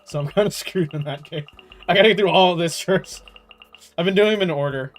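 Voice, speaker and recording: A young man chats on a game livestream in a somewhat high voice. He is casual, slangy and playful as he reacts, with faint game music behind him. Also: brisk. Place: in a room at home.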